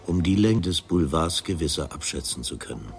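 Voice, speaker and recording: A detached storyteller with an extremely low voice narrates a radio play gravely and deliberately. Music plays clearly behind him. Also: male voice, expressive, heavily acted. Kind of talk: scripted radio drama narration.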